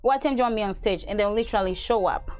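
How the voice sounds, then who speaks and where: A woman's voice: mid-range voice, racing, clean tone, faint music behind. Chatty woman from Uganda, interview guest. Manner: relaxed, chatty, laughs easily, many fillers. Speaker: radio host and event organizer. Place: TV studio.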